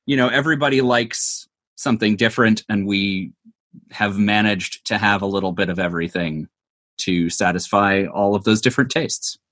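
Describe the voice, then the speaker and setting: A man's voice: lowish voice, normal speed, a bit bright. A man giving thoughtful, conversational answers as an interview guest, explaining things with frequent asides. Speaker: interview guest, audio drama maker.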